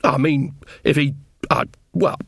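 A man's voice: fairly high pitch, steady tone, a little slow, creaky, a bit bright, no room sound, studio quality, exaggerated voice. A man voicing an earnest, uncertain younger witch woman in an audiobook, speaking hesitantly and with feeling. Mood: upset, flustered. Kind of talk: audiobook character dialogue.

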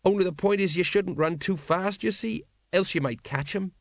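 Higher-pitched as a man puts on an old watch sergeant, mournful, rambling, storybook reading. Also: normal speed, no echo, clean studio sound. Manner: sad, rambling, tells stories. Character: older male city watch sergeant, wistful and mournful.

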